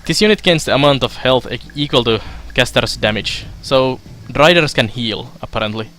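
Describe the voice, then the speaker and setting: A young man from Finland giving casual let's play commentary, halting with fillers as he reads game text aloud. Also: mid-range voice, pushed and bright, faint music behind. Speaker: streamer.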